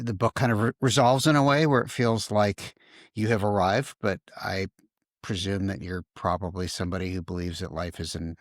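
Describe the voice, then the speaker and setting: A man with a fairly low voice hosts a conversational interview, recorded dry. He builds his questions thoughtfully and a little tentatively. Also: no echo. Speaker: interviewer, host.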